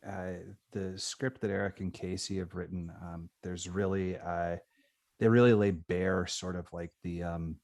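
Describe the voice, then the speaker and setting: A man with a low voice, captured close and dry. He thinks aloud in a group discussion, halting often and feeling for the right word in a tentative, thoughtful way. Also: fairly even intonation, a little mellow, no room sound. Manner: halting, tentative, searching for words.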